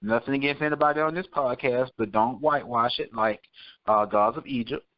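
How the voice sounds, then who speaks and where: A man's voice: mid-range voice, brisk, no room sound, highs cut off. A man hosting a podcast, talking casually with his co-hosts and listeners. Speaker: podcast host. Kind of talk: casual podcast chat and sign-off.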